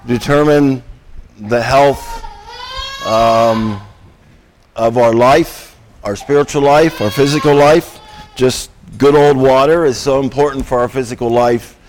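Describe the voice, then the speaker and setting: A man preaching a Sunday sermon, hoarse and croaky from a cold, speaking haltingly with frequent ums and throat clearing. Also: medium pitch, a little mellow, slight background noise.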